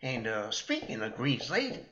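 A man acts out a folksy small-town sheriff from an old-time radio western. He hems and haws in a slow, bright Western American drawl, on a dull lo-fi recording. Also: medium pitch, wildly swooping pitch. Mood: helpful, chatty.

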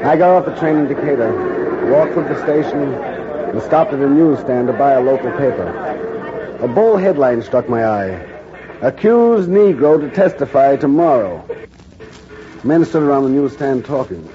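A man playing a veteran newspaper reporter, narrating his story in a measured, grave way in a 1940s radio drama. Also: mid-range voice, expressive, crowd noise, natural voice. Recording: muffled 1940s radio broadcast.

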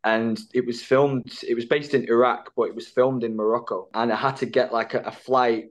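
A man's voice: mid-range voice, flat delivery, normal speed. A man guest on a podcast, an actor telling stories in a loose, filler-heavy way with casual swearing. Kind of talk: casual storytelling chat.

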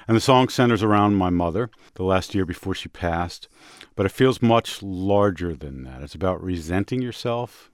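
A man hosting a music show in casual conversation, speaking in a reflective, halting way with pauses mid-sentence. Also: deep voice, no echo, studio quality. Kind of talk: casual radio music discussion. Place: dry studio.

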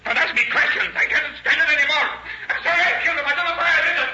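Unhinged killer in noisy old radio drama. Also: male voice, very high-pitched, racing, bright, forceful tone, heavily acted. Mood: anguished outburst. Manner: menacing, agitated, repetitive. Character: unhinged volatile male robber and hammer killer. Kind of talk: 1930s radio drama acting. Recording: muffled, noisy old 1930s radio recording.

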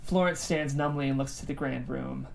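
A woman with a low-pitched voice hosts a script reading. She narrates and interviews, with clear noise in the background. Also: steady tone, normal speed, a little mellow. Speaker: host and stage-direction narrator.

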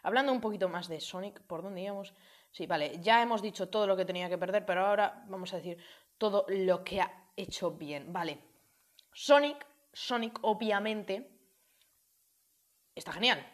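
A woman's voice: medium pitch, moderate pace. A woman chatting through a casual film review, addressing viewers with many fillers and restarts.

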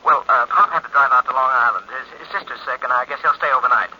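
A man's voice: mid-range voice, quick pace, close to an everyday voice. An actor playing a nervous, frightened man urgently pleading with a friend, in 1940s radio drama style. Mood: anxious. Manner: anxious, rattled, urgent. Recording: muffled, hissy old radio recording.

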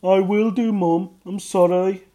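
A shrill little goblin boy for a storybook. He whines and wails high above a man's normal register. The timbre sounds strained and pinched, with a mellow, soft edge underneath. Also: steady tone, unhurried. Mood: pleading. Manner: high squeaky whining cries. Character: small mischievous nosy goblin boy.

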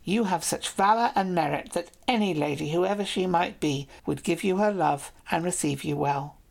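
A woman's voice: on the low side, moderate pace, creaky. Woman voicing a clever noble lady. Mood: warm, persuasive. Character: young noble lady, proud, clever, teasing.

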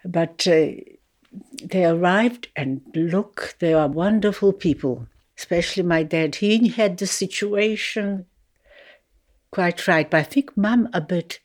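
Slow, low-voiced older woman. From Poland. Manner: slow and thoughtful, with soft laughs. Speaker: interviewee. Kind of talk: reflective personal recollection.

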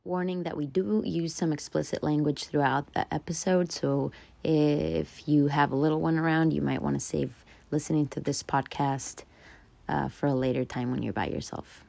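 A woman hosting a podcast, asking questions in a soft, gentle way. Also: low voice, fairly even intonation, moderate pace, creaky. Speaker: podcast host. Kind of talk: interviewing.

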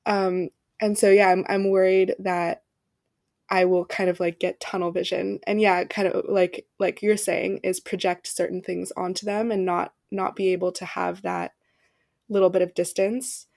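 A woman's voice: medium pitch, little pitch movement, normal speed, vocal fry, a little mellow, dry recording, studio quality. A young woman co-hosting a podcast, talking in a hesitant, casual way with many ums and restarts. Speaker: podcast co-host.

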